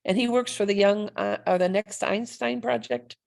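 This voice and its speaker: A woman's voice: mid-range voice, fairly even intonation, moderate pace, creaky, a little mellow. A woman chatting enthusiastically on a video call, effusive and welcoming. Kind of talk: casual video call chat.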